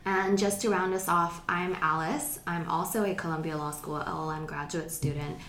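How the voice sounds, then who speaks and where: A young woman from Hong Kong hosting a podcast, reading in a measured, careful way. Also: fairly low pitch, steady tone, fairly bright tone. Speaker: podcast host. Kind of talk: scripted podcast narration. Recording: echoey room with faint noise.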